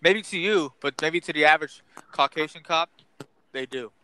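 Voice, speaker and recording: Bright, pushy young man. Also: on the high side, normal speed, clean tone, rough recording. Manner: pointed, pressing questions. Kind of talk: casual debate.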